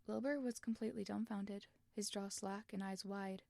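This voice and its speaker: A woman's voice: medium pitch, steady tone, normal speed, creaky, mellow, no room sound. A woman narrating fan fiction expressively from a script, as in an audiobook.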